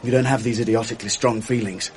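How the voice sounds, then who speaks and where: A man plays an English public schoolboy in his late teens for a radio play. He is earnest, languid and self-deprecating, with a mid-pitched voice and faint music behind him. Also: steady tone, fast talker, natural voice. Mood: resigned, affectionate. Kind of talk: 1980s radio drama acting.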